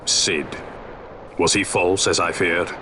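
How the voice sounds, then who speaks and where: A man's voice: low voice, fairly even intonation, slow, background noise. An actor playing a proud, blunt male sky pirate captain in a video game cutscene, speaking in commanding, old-fashioned phrasing. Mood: grave. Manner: archaic, commanding speech. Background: game score music.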